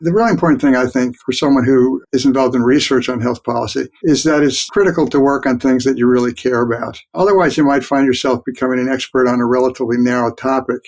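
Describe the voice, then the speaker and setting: A mature man giving reflective, explanatory answers as an expert guest in an interview, speaking in a measured, plain-spoken way. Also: medium pitch, a little mellow. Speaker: guest expert, physician researcher.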